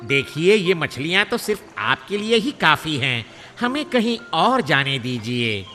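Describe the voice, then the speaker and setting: A man voicing a clever, courteous heron who leads his flock in a children's animated story. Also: fairly high pitch, a little slow, exaggerated voice. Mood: polite, reluctant. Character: clever, polite male heron flock leader. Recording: long reverb, music behind.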